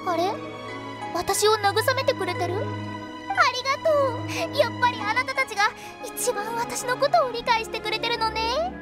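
A woman's voice: very high voice, moderate pace. A woman voicing a cheeky teenage girl who runs a funeral parlor in an anime game, teasing and theatrical with a sweet salesy patter. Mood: breezy, playful. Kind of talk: Japanese anime game voice acting. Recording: music under the voice. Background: game music.

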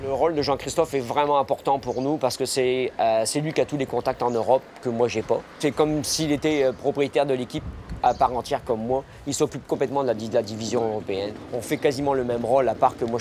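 A man in his sixties, a team manager giving a documentary interview, pausing often with fillers. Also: medium pitch, noisy background. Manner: hesitant, many fillers. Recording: interview under a music bed.

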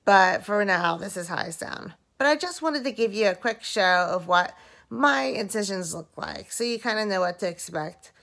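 A woman in her thirties, hoarse and very tired, talking slowly and drowsily into a phone held at arm's length while lying in bed at home, recording a casual video diary. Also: medium pitch, animated, a bit bright. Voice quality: dry-mouthed, rough voice. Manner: slow, drowsy, rambling. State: hoarse and very tired after surgery. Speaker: vlogger.

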